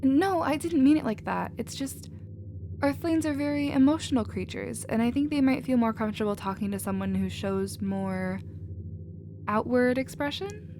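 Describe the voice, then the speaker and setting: Woman playing a compassionate, higher-voiced alien scientist. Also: moderate pace, a little hiss or hum. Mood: earnest. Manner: soft-hearted, earnest, expressive. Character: gentle, emotional, compassionate female alien scientist. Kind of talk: scripted ASMR audio roleplay.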